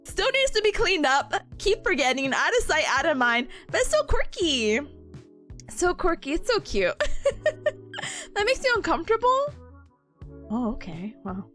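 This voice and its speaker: A woman's voice: very high-pitched, huge pitch swings, measured pace, pauses often, fairly bright tone, soft background music. A young woman streamer chatting casually with her viewers, bubbly, giggly and playful, reading comments as she goes. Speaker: study streamer.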